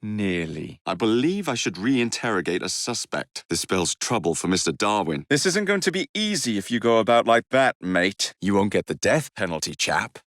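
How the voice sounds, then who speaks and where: An actor playing a cocky young Victorian London rogue in a video game, cheeky and teasing with an English accent. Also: male voice, fairly low pitch, sing-song, normal speed, hardly any pauses, fairly bright tone, dry recording, clean studio sound. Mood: businesslike, wry.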